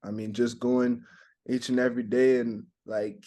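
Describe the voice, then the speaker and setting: A young man from Montreal, a native French speaker, answering interview questions in a halting way with many fillers and restarts. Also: medium pitch, level tone, dark tone. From Montreal, Canada. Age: young adult. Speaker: basketball draft prospect.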